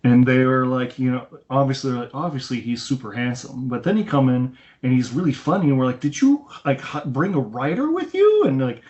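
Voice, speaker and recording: A man's voice: medium pitch, lively intonation, brisk, hardly any pauses, a little mellow. A man co-hosting a casual film review podcast, telling rambling anecdotes over an internet call. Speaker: podcast co-host. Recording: dull lo-fi internet call audio.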